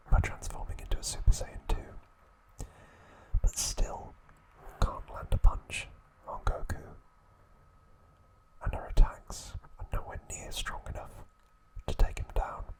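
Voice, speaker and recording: Whispering man, slow ASMR narration. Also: poor audio. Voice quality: breathy unvoiced whisper. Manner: slow, hushed delivery in short measured phrases. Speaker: ASMR host.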